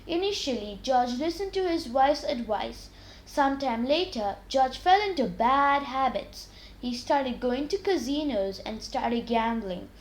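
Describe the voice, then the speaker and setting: A woman with a high voice reads stories aloud for children. Her delivery is scripted and deliberate, with expressive emphasis suited to simple moral tales. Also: poor audio. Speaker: children's story reader.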